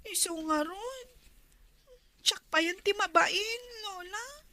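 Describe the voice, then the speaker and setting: An actress playing an earnest, pleading young student daughter in a radio drama, respectful but plaintive. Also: female voice, very high-pitched, slow, pushed and bright, a little hiss or hum, full character voice. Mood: troubled.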